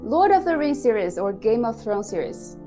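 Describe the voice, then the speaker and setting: A woman hosting a casual podcast interview. She asks quick icebreaker questions and laughs often. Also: a little high, very animated, over music. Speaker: podcast host.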